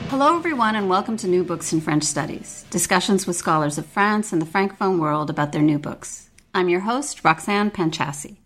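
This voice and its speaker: A woman hosting an academic book podcast, polite and measured, reading a scripted introduction and asking questions. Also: lowish voice, lively intonation, a bit bright, faint music behind. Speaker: podcast host.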